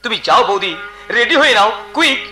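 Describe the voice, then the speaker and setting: A man's voice: very high voice, lively intonation, brisk, over music. A man playing a scheming young brother-in-law in Bengali folk-theatre melodrama, with an emphatic, declamatory delivery. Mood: falsely concerned.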